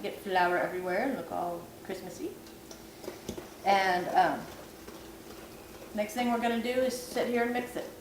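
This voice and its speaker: A woman's voice: medium pitch, moderate pace, pauses often. A woman who is losing her voice gives a chatty, self-deprecating cooking tutorial at home. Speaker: vlogger. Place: home kitchen at night. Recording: echoey kitchen, far from camera, background noise.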